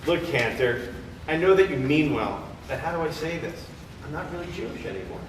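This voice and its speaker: Animated, exasperated actor as young man, echoey. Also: fairly high pitch, brisk, close to an everyday voice. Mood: defensive, exasperated. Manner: exasperated, emphatic, questioning. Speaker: stage actor in a staged play reading. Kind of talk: live theater comedy acting. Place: theater or temple hall, far microphone. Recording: echoey hall from far off, noisy.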